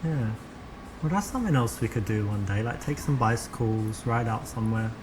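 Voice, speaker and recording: A man's voice: lowish voice, a little fast, noisy background, close to an everyday voice. An actor playing a chatty English man with a London accent, flirting on a date in a game. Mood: flirty. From England. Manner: chatty, relaxed, flirty small talk. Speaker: player character on a date.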